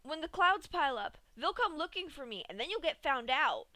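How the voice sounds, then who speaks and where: A woman's voice: high voice, very animated, a little fast, sharp tone, no echo, voice pushed up, exaggerated voice. A woman voicing a brash young female pegasus pony who pleads in panic. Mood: pleading.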